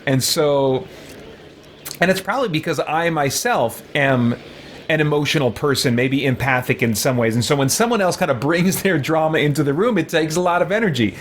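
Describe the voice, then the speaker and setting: A man gives casual commentary as a gaming host, rambling and reflective. His slightly high voice moves around in pitch a lot. Some background noise is present. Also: animated, moderate pace. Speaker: gaming video host, roleplayer. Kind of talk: casual chatty game commentary.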